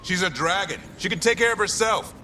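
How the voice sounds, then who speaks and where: An actor playing an observant, keen warrior companion in a fantasy video game. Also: male voice, high-pitched, brisk, bright, forceful tone, slight background noise. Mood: animated. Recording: game sound effects over voice.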